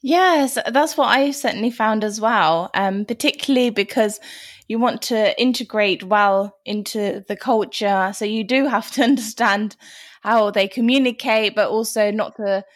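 A woman hosting a podcast interview, upbeat and encouraging, her pitch rising on her questions. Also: measured pace. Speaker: podcast host.

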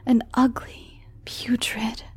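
A woman voicing a gleefully cruel, doting mother in a horror story, breathy and whispering as she savors each word. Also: a little high, level tone, slow pace, a little mellow, no room sound, voice pushed up, full character voice. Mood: tender, menacing. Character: sinister mother, gleefully cruel, lovingly proud of daughter.

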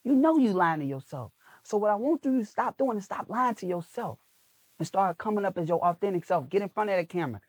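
A man's voice: high voice, animated, fast talker, husky, dry recording. A man speaking as a guest on a podcast, coaching people on making video content in an emphatic, preachy way with lots of repetition. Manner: emphatic, preachy, repeats phrases. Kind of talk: motivational podcast interview.

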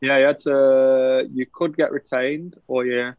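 A man's voice: medium pitch, measured pace, no echo. Young sportsman as podcast guest, easygoing and chatty, muffled dry recording. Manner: relaxed, chatty, laughs often. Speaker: professional cricketer guest. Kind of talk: casual podcast interview. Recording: dull, lo-fi, dry sound.

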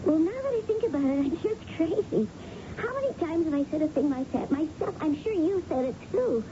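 An actress playing a nervous, doubtful waitress in a 1970s radio drama, speaking hesitantly and second-guessing herself. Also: female voice, very high-pitched, lively intonation, a little fast. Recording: dull, noisy old radio broadcast.

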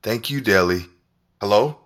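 An actor playing a smitten, stressed senator in an audio drama, with a husky voice. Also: male voice, lowish voice, a little slow, fairly bright tone, faint music behind. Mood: smitten, earnest.

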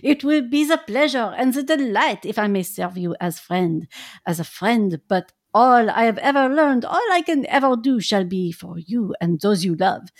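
A woman voicing an earnest, effusive old Dutch professor who speaks in broken, formal English. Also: mid-range voice. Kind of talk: reading a novel aloud in roles.

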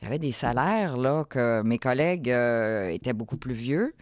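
A woman's voice: very deep voice, lively intonation, measured pace, darkish tone, dry recording, clean studio sound. A middle-aged woman with a Quebec French accent, talking casually as an interview guest, thinking aloud in broken phrases. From Quebec, Canada. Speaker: podcast guest, entrepreneur.

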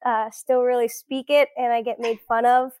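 A woman's voice: fairly high pitch, little pitch movement, moderate pace, a little mellow, smooth, dry recording, studio quality. An American woman in her thirties chatting reflectively as an interview guest, telling her story in a casual way. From South Florida, US. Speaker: podcast guest, academic. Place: at home indoors.

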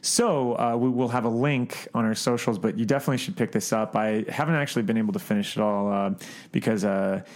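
A man co-hosting a podcast in casual chat, with a halting, rambling way of talking. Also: lowish voice. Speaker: podcast co-host. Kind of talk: casual chat about books, films and collectibles.

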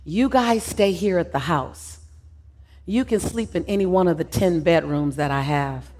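A woman leading a guided visualization, soft and slow in the calm passages and rising loud and emphatic when she voices the crowd. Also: fairly low pitch.

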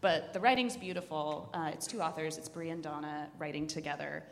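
A woman with a fairly low voice, recorded in a roomy hall with long reverb. She recommends books in casual chat, keen and a little wry. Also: steady tone, a little fast, vocal fry. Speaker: podcast host recommending books.

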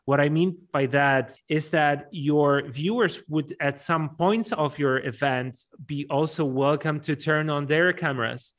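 A man's voice: on the high side, a little slow, hardly any pauses. A man with a Polish accent answering interview questions conversationally, often repeating words as he works out his explanation. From Poland. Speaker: event MC, interview guest.

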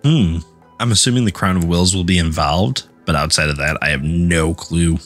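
A man's voice: low-pitched, normal speed, a bit bright, faint music behind. A man, probably in his thirties, chatting casually as a podcast guest in a wry, joking way.

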